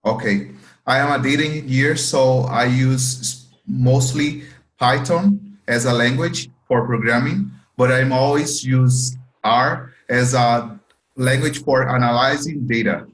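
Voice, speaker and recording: A man's voice: mid-range voice, unhurried, reverberant, low-quality recording. A man whose first language is Portuguese, a student data engineer answering interview questions carefully, repeating words as he searches for them. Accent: Portuguese-speaking.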